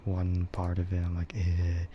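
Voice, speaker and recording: Low, slow, mellow male streamer. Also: monotone. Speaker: VTuber streamer. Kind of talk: casual rambling stream chat, ASMR. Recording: dull lo-fi microphone with faint noise.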